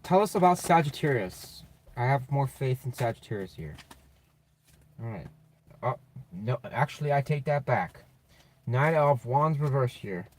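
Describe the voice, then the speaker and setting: A man giving a casual, off-the-cuff tarot reading in a blunt, sweary, slangy way, with a slightly raspy voice. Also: mid-range voice, lively intonation, unhurried, pauses often, darkish tone, a little hiss or hum. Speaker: tarot reader and channel host.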